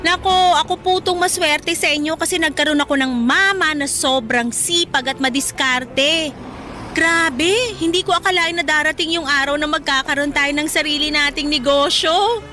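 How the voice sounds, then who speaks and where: Dalagang Pilipina, napakatinis ng boses. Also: female voice, high voice, moderate pace, pushed and bright. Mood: cheerful, grateful. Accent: Filipino. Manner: earnest, pleading, polite yet frank. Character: caring, outspoken young Filipina daughter, respectful but frank. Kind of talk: Tagalog radio drama acting. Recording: music under the voice.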